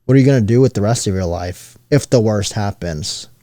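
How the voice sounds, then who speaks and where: A man's voice: on the low side, brisk, warm tone, no room sound, studio quality. A man co-hosting a casual podcast, laid-back and slangy, tossing teasing questions at his friend. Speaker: podcast co-host.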